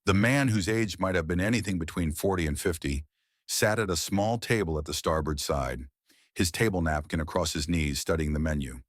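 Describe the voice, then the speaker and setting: A man narrating a vintage pulp horror story in a measured, literary storytelling manner. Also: sits low, dry recording, studio quality.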